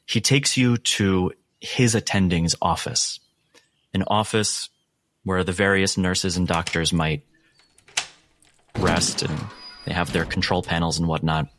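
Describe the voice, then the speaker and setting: A man narrating a horror tabletop roleplay as game master, in a measured, suspenseful way with deliberate pauses. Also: low-pitched, steady tone, a bit bright. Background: background music.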